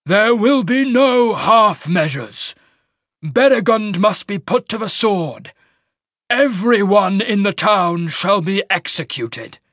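A man voicing a wrathful, imperious shaman mage lord in a fantasy audiobook, with booming, commanding pronouncements. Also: animated, takes it slowly, a bit bright, no room sound, clean studio sound, full character voice. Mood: thunderous fury. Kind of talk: dramatic fantasy audiobook character.

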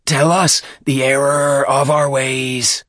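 Elderly alien holy scholar, played by a male narrator. Very slow, fervent, quavering wail, raised pitch. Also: flat delivery, fairly bright tone, no room sound, clean studio sound. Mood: wailing reverence. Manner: slow, gasping, wailing. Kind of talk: audiobook character voice.

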